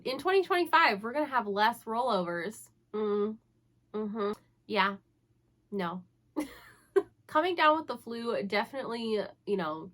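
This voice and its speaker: A woman's voice: medium pitch, a bit bright. A young woman with a congested voice from the flu, chatting casually and upbeat to camera about her reading plans. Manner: chatty, upbeat, self-teasing. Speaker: book vlogger.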